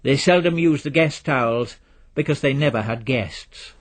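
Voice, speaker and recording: A man reading literary fiction as a narrator, measured and wry. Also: medium pitch. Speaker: audiobook narrator.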